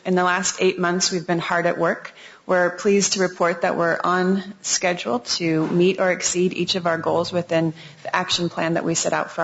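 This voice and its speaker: A woman with a fairly low voice delivers a polished progress report to a city commission, with only an occasional "um". The sound is muffled and lo-fi, as recorded in a public meeting room. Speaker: city agency staff presenter. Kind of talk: formal presentation to a commission.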